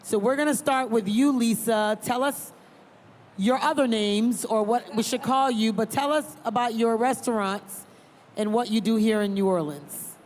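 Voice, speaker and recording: A woman originally from Liberia moderating a live panel, chatty and quick to laugh. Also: on the high side, darkish tone, a little hiss or hum. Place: large convention hall.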